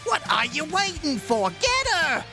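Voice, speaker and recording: Scornful orc thug boss, high-pitched, slow drawn-out delivery, mocking slang, fantasy audio drama, music behind. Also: female voice, sing-song, sharp tone, full character voice. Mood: irritated, commanding. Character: scornful, bossy orc crime enforcer.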